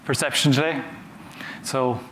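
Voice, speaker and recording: A man giving a conference talk from a stage, conversational and halting, often repeating words as he goes. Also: mid-range voice, steady tone, a bit bright. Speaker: conference speaker. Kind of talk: conference talk with audience questions. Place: large conference hall on a stage. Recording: roomy hall sound with faint noise.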